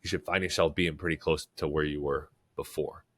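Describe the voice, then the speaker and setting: A young man with a very low voice and a stuffy, congested nose from a cold. He co-hosts a casual podcast, talking loosely and filling his sentences with "like" and "right?". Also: a little fast. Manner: relaxed, repeats "like" and "right?" often. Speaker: podcast co-host.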